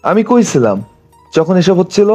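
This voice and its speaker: Male, fairly high mellow voice, actor as bewildered sidekick, muffled lo-fi sound. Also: sing-song, moderate pace, no room sound, soft background music. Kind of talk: amateur romantic audio story acting.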